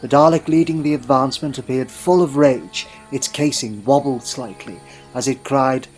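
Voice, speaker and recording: A man narrates an adventure story in a mid-range voice. The delivery is scripted and dramatic, in a storybook manner, with music clearly playing behind him. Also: mid-range voice, normal speed.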